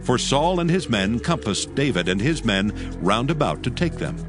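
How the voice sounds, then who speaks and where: A man giving scripted narration of dramatized scripture in a solemn, measured storytelling manner. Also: medium pitch, sing-song. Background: faint background music.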